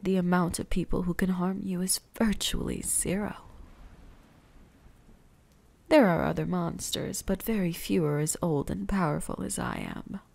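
An ancient vampire huntress, acted by a woman, speaks in an intimate ASMR roleplay. Her voice is dark, breathy and whispery, with a gravelly fry in it. She is predatory and seductive, teasing with condescension. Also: mid-range voice. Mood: haughty, menacing.

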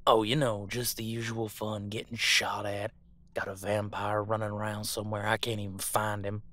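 A man playing a gruff, scornful veteran monster hunter in an audio roleplay, folksy and bossy. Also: on the low side, little pitch movement, normal speed, pushed and bright, dry recording. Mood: irritated.

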